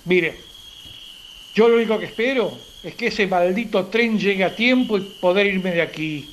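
A man's voice: high-pitched, moderate pace, natural voice, low-quality recording. A man playing a grumpy, impatient traveller in a radio play, curt and exasperated, often repeating himself. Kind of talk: amateur radio play acting. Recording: faint hiss with night crickets. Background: crickets, night ambience.

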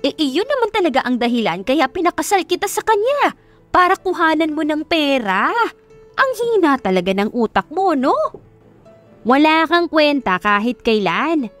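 A woman voicing a greedy middle-aged mother who scolds and belittles her daughter in a shrill, demanding manner for an audio love story. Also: big pitch swings, normal speed, a bit bright, soft background music. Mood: scornful scolding.

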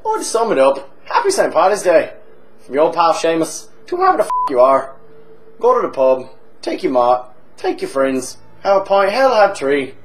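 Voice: sing-song, moderate pace, a little hiss or hum, natural voice. Someone doing a crude, rowdy Irish drunk. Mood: toasting. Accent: put-on Irish. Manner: crude, swaggering, jumps between high squeals and low mutters. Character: rowdy boozy Irish man, crude and cheerful. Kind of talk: comic character monologue.

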